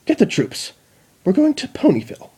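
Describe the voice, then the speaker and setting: A man's voice: high voice, wildly swooping pitch, moderate pace, mellow, slightly rough, voice pushed up, exaggerated voice. A man voicing a shrieking, imperious female changeling queen in a fan reading. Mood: screeching. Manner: screeching, imperious.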